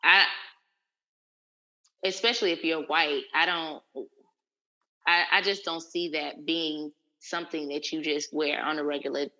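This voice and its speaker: A woman co-hosting a podcast, talking in a measured, thoughtful, hedging way. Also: low voice, fairly even intonation, bright, forceful tone, muffled recording. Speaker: podcast co-host. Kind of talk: casual chat.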